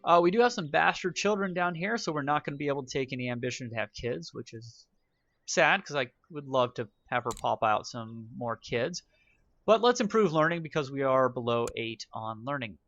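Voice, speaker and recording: A man's voice: medium pitch, lively intonation, a little fast, fairly bright tone, dull audio. A man giving casual, wry commentary while playing a strategy game, thinking aloud as he goes. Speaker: gaming YouTuber. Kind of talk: let's play commentary.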